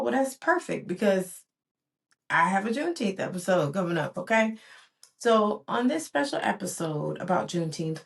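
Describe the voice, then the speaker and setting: A woman's voice: medium pitch, creaky. A woman in her forties, born in Barbados and raised in the US, hosting a reflective solo podcast in an earnest, rhythmic way with repeated emphatic phrases. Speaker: podcast host.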